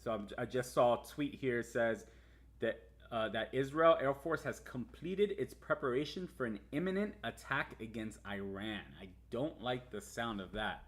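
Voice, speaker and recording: A man's voice: mid-range voice, normal speed. A man from the United States whose first language was Spanish, casually talking viewers through crypto markets on a livestream, with many fillers and restarts. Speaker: livestream host. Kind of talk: casual live market commentary.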